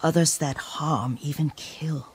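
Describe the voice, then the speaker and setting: A woman's voice: low-pitched, fairly even intonation, takes it slowly, slight background noise. A woman playing an elderly, devoted servant, caring and cautious, in a video game cutscene. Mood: grave. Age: older. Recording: music behind the voice. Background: cinematic game music.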